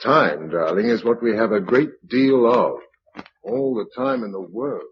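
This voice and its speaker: An actor playing a practical, dryly humorous husband who keeps a journal after the apocalypse, in British radio drama style with measured, reflective delivery. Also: male voice, lowish voice, lively intonation, a little hiss or hum. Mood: reflective, wry. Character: practical, wry husband keeping a survival journal in a post-apocalyptic world. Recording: dull, lo-fi radio drama recording.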